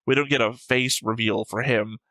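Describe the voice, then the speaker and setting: A man's voice: mid-range voice, steady tone, moderate pace, bright, forceful tone, dry recording, poor audio. A man hosting an anime reaction show, casually reasoning aloud through plot theories in an argumentative way, with some casual swearing.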